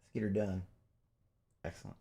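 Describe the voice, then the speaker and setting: A man's voice: low voice, fairly even intonation, moderate pace, gravelly, warm tone. A middle-aged man hosting a casual music livestream, chatty and upbeat, with lots of fillers. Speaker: livestream pianist host.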